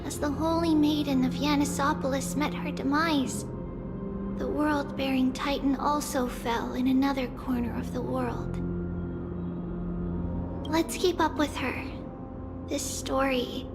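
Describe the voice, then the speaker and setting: A woman voicing a solemn young seer in a video game, guiding visitors through memories in measured, formal, wistful speech. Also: high-pitched, fairly even intonation. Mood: calm, wistful. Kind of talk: video game voice acting, story narration. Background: game music.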